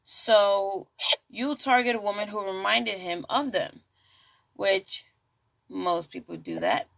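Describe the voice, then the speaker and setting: A woman's voice: medium pitch, slow pace, vocal fry, fairly bright tone. A woman hosting a true crime podcast in a casual, chatty way, full of asides and jokes. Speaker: podcast host.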